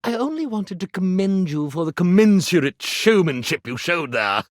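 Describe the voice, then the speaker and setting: A man voicing a boastful, grandiose showman magician in a video game, pompous and flattering. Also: high voice, moderate pace, no echo, clean studio sound. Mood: effusive praise. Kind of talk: video game character acting.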